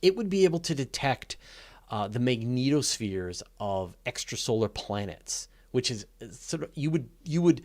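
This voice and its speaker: A man's voice: medium pitch, big pitch swings, normal speed, a little mellow. A man talking casually and off the cuff as the host of a live question session, thinking aloud in a conversational, keen way. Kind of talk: casual unscripted Q&A talk about space and astronomy.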